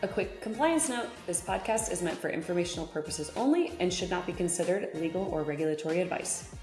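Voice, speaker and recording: A woman reading a scripted podcast intro and disclaimer in a brisk, read-out manner. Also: on the low side. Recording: roomy intro over loud music.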